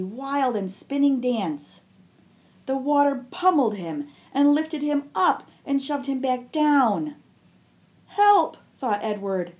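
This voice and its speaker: A woman on a school's staff reading a children's novel aloud, with expressive storybook narration. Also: medium pitch.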